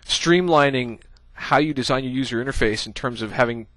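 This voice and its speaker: A man's voice: mid-range voice, a little fast, a bit bright, no room sound. A man giving a casual screencast coding tutorial, thinking aloud as he types, with many "uh" fillers. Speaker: programming instructor.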